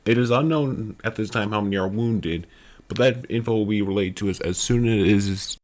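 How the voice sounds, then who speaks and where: A man playing a shaken, halting local news anchor in an acted breaking news report, with a gravelly voice. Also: fairly low pitch, background noise, lightly acted. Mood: grave, uneasy. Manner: halting, stammering.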